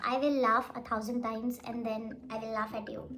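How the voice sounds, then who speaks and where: A woman's voice: a little high, little pitch movement, moderate pace, fairly bright tone, soft background music. A young woman, a Hindi speaker, giving blunt, rambling advice in a casual makeup vlog while she does her makeup. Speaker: beauty vlogger.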